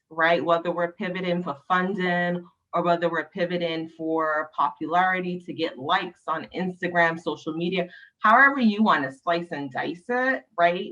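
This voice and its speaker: A passionate woman from Barbados speaks on a panel over a video call. Her voice sits very low but rings bright and forceful, and she drives her points home with emphatic tag questions. The call audio sounds dull and lo-fi. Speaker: panelist, nonprofit leader.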